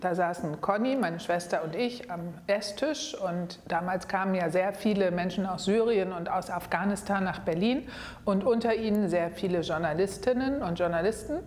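A woman's voice: on the low side, moderate pace, darkish tone, a little hiss or hum. Woman interviewee in a very reverberant room. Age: middle-aged. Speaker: news platform founder. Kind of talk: documentary interview. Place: indoor office room. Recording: long reverberant room echo.

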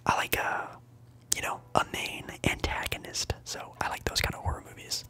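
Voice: moderate pace, sharp tone. Whispering ASMR streamer. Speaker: ASMR livestream host. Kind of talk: whispered ASMR chat answering live viewers.

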